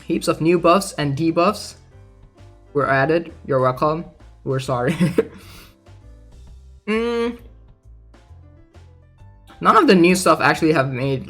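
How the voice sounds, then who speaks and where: A man's voice: on the high side, sharp tone. A man casually reading aloud and commenting in an informal, chatty way, like a gaming content creator. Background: background music.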